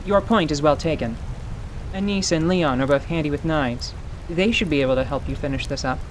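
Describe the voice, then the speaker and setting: Casting: man playing sardonic tiefling party boss, fantasy radio theatre, formal flourishes, fairly high pitch, wet background. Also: brisk, a little hiss or hum, natural voice, low-quality recording. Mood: brisk, wry. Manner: wry, florid, formal. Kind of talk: fantasy radio drama acting. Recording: steady rain and stream ambience behind.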